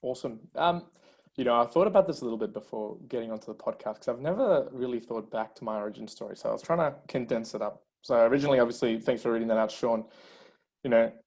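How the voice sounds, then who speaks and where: A man's voice: mid-range voice, fast talker. A man in his thirties, born in Colombia and a Spanish speaker, telling his life story as a guest in a casual interview. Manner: energetic storyteller, many fillers.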